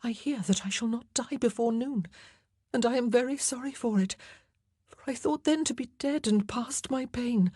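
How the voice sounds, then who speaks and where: A woman reading an audiobook, voicing a frightened young queen awaiting execution who pleads in breathy whispers. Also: on the high side, normal speed, mellow, no room sound, studio quality, voice pushed up. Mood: anxious. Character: doomed young queen, frightened, faithful, fragile.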